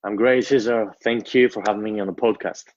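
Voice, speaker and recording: A young man in his twenties with a Greek accent, answering interview questions in a measured, storytelling way. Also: on the low side, steady tone, a little mellow, no room sound, lo-fi sound. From Greece. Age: 20s. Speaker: guest.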